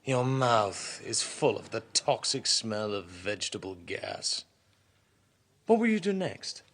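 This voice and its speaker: A man's voice: fairly low pitch, animated, takes it slowly, a bit bright, slight background noise. An actor playing an arrogant, scornful young king in an audio drama, with an imperious, sneering manner. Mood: sneering contempt.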